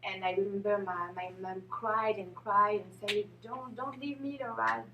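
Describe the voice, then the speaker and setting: A woman in her thirties, a native French speaker with a French accent, giving halting personal testimony at a community event and searching for English words. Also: medium pitch, steady tone, dull audio, slight background noise. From France. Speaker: panel speaker. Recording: echoey hall, speaker far from mic.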